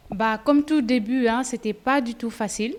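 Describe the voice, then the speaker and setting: A young woman from Senegal, a model, chatting in a relaxed interview with frequent fillers. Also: a little high, normal speed. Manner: chatty, laughs easily, hesitant fillers. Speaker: fashion model, interview guest. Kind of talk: casual interview, mixing Wolof and French.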